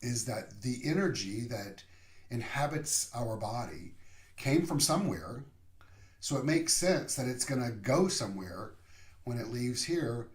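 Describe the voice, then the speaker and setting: A male minister speaking at an unhurried pace, leaving long pauses and letting his phrases settle. His voice sits at an ordinary middle pitch. The sound carries a noticeable room echo. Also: animated. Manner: slow, reflective, pausing between phrases. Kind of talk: sermon. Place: room, via online service. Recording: roomy echo.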